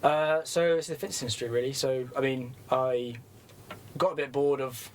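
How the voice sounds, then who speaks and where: A man's voice: mid-range voice, fairly even intonation, moderate pace, rough recording. A young man, a musician guest who talks casually in a rambling, self-deprecating way and laughs easily. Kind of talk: casual interview chat.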